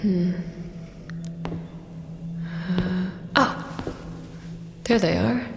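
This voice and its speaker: An actress playing a tough, sardonic robot pilot in a science fiction audio drama, talking in a dry, blunt, casual manner. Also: female voice, lowish voice, drawn-out words, gravelly, background noise, exaggerated voice. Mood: wary, musing.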